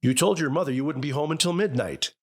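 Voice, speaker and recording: A man's voice: a little high, fast, breathy edge. An actor playing a good-natured but easily exasperated middle-aged American father in a 1950s radio sitcom, with an avuncular, take-charge way of talking. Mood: exasperated, probing.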